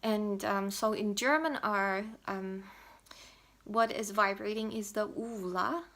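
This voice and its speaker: A woman's voice: medium pitch, measured pace, fairly bright tone. A young woman from Taiwan with a Mandarin accent, speaking English as she explains to camera how she learned a pronunciation, with many fillers and restarts. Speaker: language learner vlogger.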